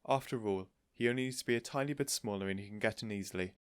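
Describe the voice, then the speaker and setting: Man voicing an earnest, low-voiced storybook gentleman. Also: fast talker, clear, resonant tone, dry recording, studio quality, natural voice. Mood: reassuring, confident. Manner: earnest, courteous, reassuring. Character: kindly, eager, lovestruck gentleman neighbour, gently persuasive. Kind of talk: storybook character voice.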